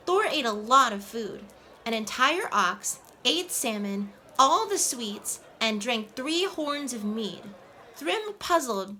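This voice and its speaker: Bright, high-voiced mermaid storyteller played by a woman. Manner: animated, coaxing, asks listeners questions. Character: playful, sweet female mermaid storyteller. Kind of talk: children's storytelling, addressed to the audience. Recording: faint background ambient noise.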